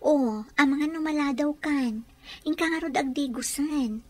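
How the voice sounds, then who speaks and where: A woman playing a caring, practical young bride-to-be in a radio drama, solicitous and gently insistent. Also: sits high, measured pace. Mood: affectionate.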